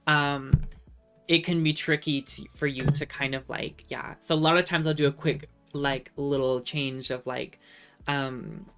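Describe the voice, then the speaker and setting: A woman's voice: sits low, a bit bright. A young woman with a Californian accent that has drifted over time, chatting casually on a live stream and reading out viewer comments. She rambles with many ums and restarts and laughs often, and she says she is feverish. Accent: Californian American, shifted by time abroad.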